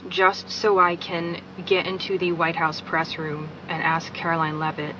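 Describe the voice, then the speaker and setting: Woman, blunt, scornful, lowish voice, noisy phone audio. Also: completely flat delivery, measured pace, lo-fi sound. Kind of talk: social media monologue.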